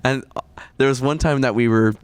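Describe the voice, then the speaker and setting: A man's voice: mid-range voice, moderate pace, smooth, no echo. A young man co-hosting a podcast, telling jokey stories in a laid-back, casual way. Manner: joking storyteller, laughs often. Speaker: podcast co-host. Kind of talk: casual chat.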